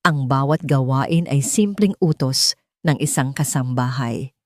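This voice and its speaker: A woman's voice: a bass voice, a little slow, a little mellow, no room sound, clean studio sound. A Filipino woman reading a scripted story as an audiobook narrator.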